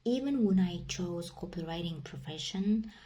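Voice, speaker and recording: A woman's voice: on the low side, lively intonation, normal speed, dark tone. A young woman with a Kazakh accent, native Kazakh speaker, chatting casually to camera in a rambling, repetitive way full of fillers. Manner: rambling and repetitive, many "you know" and "like" fillers. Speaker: vlogger. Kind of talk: casual vlog monologue.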